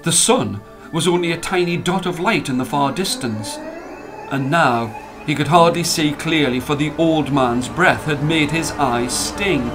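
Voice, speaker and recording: Male storyteller for audio fiction: slightly high voice, dramatic scripted read, clear music bed. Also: a little slow, low-quality recording. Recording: music playing under the voice.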